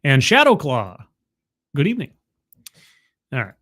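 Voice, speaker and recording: A man's voice: mid-range voice, wildly swooping pitch, measured pace, fairly bright tone. A man commenting live on a video he is watching, making wry, skeptical asides and thanking viewers in the chat. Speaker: streamer reacting to a video. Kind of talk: casual live-stream commentary.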